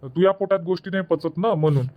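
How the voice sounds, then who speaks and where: A man's voice: sits high, fast, lo-fi sound, lightly acted. A man voicing a grumbling village husband. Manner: grumbling, bossy, defensive. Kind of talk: dubbed cartoon comedy.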